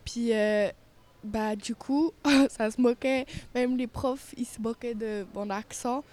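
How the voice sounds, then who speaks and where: A woman's voice: on the high side, fairly even intonation, takes it slowly, a little mellow. A woman from France answering street interview questions, shy and giggling as she talks.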